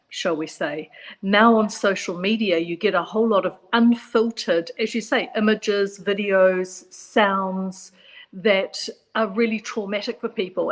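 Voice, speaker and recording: A middle-aged woman with a New Zealand accent talks as a psychologist guest on a radio discussion. She is measured and reflective, repeating phrases to stress her points. Her voice sits at an everyday middle pitch. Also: poor audio.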